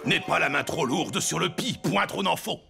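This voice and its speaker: A man's voice: fairly high pitch, expressive, normal speed, creaky. A man voicing a fussy, grumbling rustic father in a French-dubbed cartoon, using quaint old-fashioned turns of phrase. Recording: loud music behind the voice.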